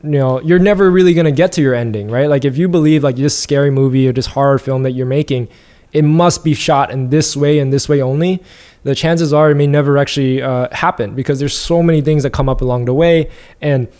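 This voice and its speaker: A man's voice: medium pitch, fairly quick. A man talking as a podcast guest, reflective and laid back, with lots of "like" and "um" fillers. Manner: relaxed, reflective, many fillers like "like" and "um". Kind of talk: casual podcast conversation.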